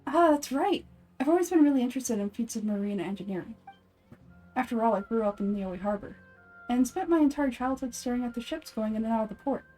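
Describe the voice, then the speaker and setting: A woman's voice: a little high, expressive, a little fast, dark tone, soft background music, low-quality recording. A woman voicing a cheerful, earnest townsperson in fan-made fantasy game dialogue. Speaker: amateur voice actor.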